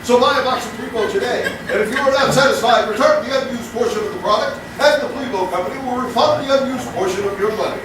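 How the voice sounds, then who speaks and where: A man's voice: extremely high pitch, fast talker, few pauses. A man doing scripted comedy banter on stage in a showy mock-announcer style. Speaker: comedic guest performer in a live stage show. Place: live stage in a theater, before an audience. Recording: very echoey hall, distant mic, noisy.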